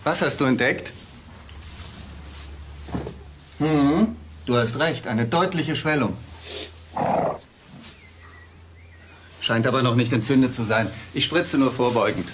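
A man's voice: medium pitch, normal speed, background noise, natural voice. An actor playing a firm, worried middle-aged father and doctor in a children's audio drama. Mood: businesslike. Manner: earnest, firm, easily exasperated.

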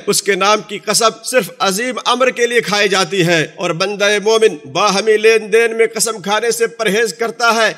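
A man reads out a translated Friday sermon. His voice sits high and sounds bright and forward. He keeps a steady, formal reciting cadence throughout.

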